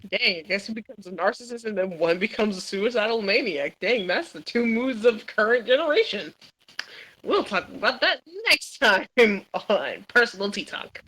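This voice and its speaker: A loud young woman with a bright, forceful voice, hosting a casual podcast. She talks over her gaming, swearing and trash-talking in a playful way. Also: mid-range voice, lively intonation, moderate pace. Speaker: podcast host.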